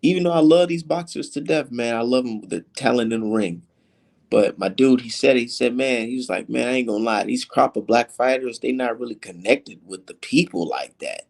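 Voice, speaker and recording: A man's voice: medium pitch, fairly quick, creaky. Slangy American man chatting casually. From United States, Minnesota and Texas. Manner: slangy, profane, conversational. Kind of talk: casual street-talk chat about sports.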